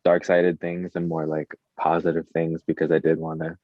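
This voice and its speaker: A man's voice: rumbling low, completely flat delivery, moderate pace, dry recording, lo-fi sound. A man chatting casually as an interview guest, a musician with an informal, slangy, hesitant way of talking, saying "like" constantly. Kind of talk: casual chat.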